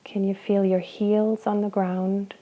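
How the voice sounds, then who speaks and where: A woman guiding a body scan meditation, speaking slowly and gently with long pauses between phrases. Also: mid-range voice, little pitch movement, muffled recording. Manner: slow, soft, gentle guidance with long pauses. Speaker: meditation guide.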